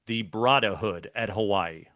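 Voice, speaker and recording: A man's voice: on the low side, measured pace, fairly bright tone. A man hosting a sports interview show, with a polished, upbeat broadcaster delivery. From mainland United States.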